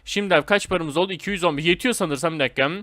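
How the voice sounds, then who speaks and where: A man giving casual, excitable gameplay commentary in informal slang, talking straight to his viewers. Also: on the high side, rapid-fire, no room sound. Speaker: gaming video host.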